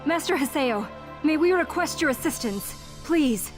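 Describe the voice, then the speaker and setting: A woman's voice: sits high, lively intonation, normal speed. An actress voicing an earnest young woman of a guild who formally begs for help. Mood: urgent. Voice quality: formal, polite. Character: young female guild member, earnest and pleading. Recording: game cutscene with music underneath.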